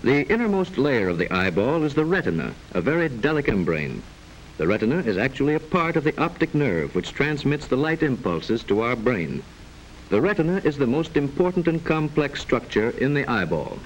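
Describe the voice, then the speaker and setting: 1940s educational film narrator, man, expressive intonation. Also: medium pitch. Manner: formal, measured, didactic. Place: voice-over narration recording. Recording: noisy vintage 1940s film soundtrack.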